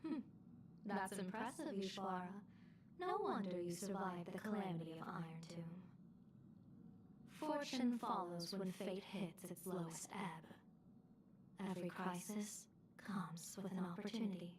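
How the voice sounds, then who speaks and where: An actress playing a calm, resolute fortune-telling strategist in a game, speaking in measured, formal lines. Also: female voice, mid-range voice, recorded from a distance, a little hiss or hum, close to an everyday voice. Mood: measured, grave. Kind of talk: scripted game dialogue.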